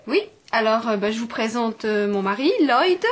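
A woman's voice: fairly high pitch, expressive, moderate pace. A woman in her thirties, a language teacher, chatting at a family table in a living room and hesitating with fillers. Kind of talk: casual family chat.

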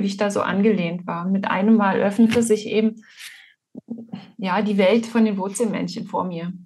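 Female guest author, 40s, German accent. Husky, breathy tone, mid pitch, reflective and halting storytelling. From Potsdam, eastern Germany. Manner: halting, thoughtful. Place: indoor room at home, on a video call.

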